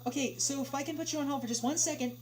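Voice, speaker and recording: A woman's voice: high voice, rapid-fire, pitched above the performer's own voice, close to an everyday voice. A woman reading the part of a flustered male customer service agent, apologetic, polite and hesitant. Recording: noisy home livestream with hum.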